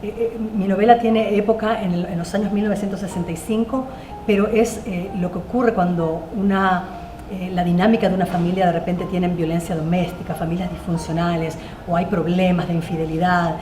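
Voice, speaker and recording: A woman's voice: lowish voice, fairly even intonation, a little fast, darkish tone. A woman novelist answering panel questions in a hesitant way, with many fillers and restarts. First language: Spanish. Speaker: novelist guest. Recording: echoey room with faint noise.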